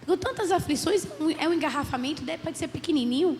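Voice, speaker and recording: A hoarse woman with a cold, a psychologist giving an earnest church talk and often asking "né?". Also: sits high, quick pace, a little mellow. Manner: earnest, many "né?" tags. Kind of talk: church lecture. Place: church hall. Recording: roomy hall with faint background noise.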